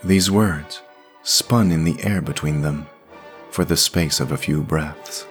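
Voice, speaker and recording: A man reading scripted fiction as a narrator, in a measured literary storytelling manner. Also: very deep voice, soft background music.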